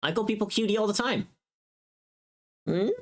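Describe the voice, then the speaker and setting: A woman's voice: mid-range voice, moderate pace. A woman livestream host chatting casually, rambling playfully and muttering to herself as she goes.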